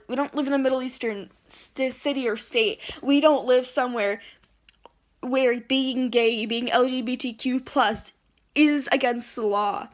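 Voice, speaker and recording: A woman ranting indignantly into her phone at home, rambling and restarting as she argues. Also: sits high. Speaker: vlogger. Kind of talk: heated rant to camera. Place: at home, indoors.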